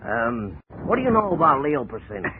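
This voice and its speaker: A man playing a pushy, gruff male bail bondsman in a 1940s radio drama, with streetwise patter. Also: medium pitch, very animated, a little fast, close to an everyday voice. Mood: wheedling. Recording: tinny old radio broadcast with noise.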